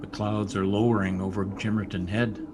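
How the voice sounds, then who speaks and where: A man's voice: fairly low pitch, flat delivery, moderate pace, natural voice. A man acting a brooding, jealous young stable boy with intense delivery in an old-time radio drama. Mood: brooding, tender. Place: indoor, remote video-call session. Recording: noisy remote video-call audio.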